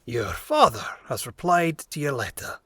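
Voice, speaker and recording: A man playing a grinning, jovial pirate captain in an audio drama, with a drawn-out delivery. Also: mid-range voice, wildly swooping pitch, pushed and bright, full character voice. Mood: cheerful, welcoming.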